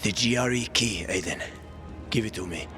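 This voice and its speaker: A man's voice: fairly low pitch, moderate pace, lightly acted. Man playing a bitter, cornered apocalypse betrayer. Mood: cold, menacing. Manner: sardonic, menacing, curt. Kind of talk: dramatic video game cutscene acting. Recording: music under the voice.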